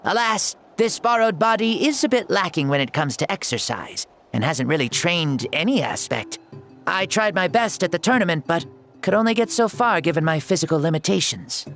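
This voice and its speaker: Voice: mid-range voice, expressive, normal speed, a bit bright, faint music behind. An actor playing an ancient hero who inhabits a young boy's body in a video game, theatrical, jaunty and self-assured. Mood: earnest.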